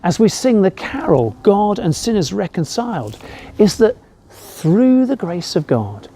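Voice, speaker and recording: A man giving a reflective sermon as a rector, speaking in a measured way with rhetorical questions. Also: sits high, sing-song, dark tone, slightly rough. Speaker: rector preaching a Christmas message.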